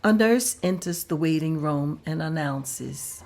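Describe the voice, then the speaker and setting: A woman narrating a table read in a plain, measured way. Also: low voice, lively intonation, creaky, a little mellow.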